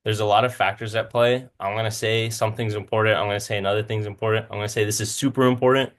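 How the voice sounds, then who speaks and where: A man in his twenties from Southern California speaks in a fairly low voice with a Californian American accent. He presents informally, like a student addressing peers, with a casual, self-deprecating way of talking. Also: little pitch movement, fast. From Southern California, United States. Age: 20s. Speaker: student speaker, medical school applicant.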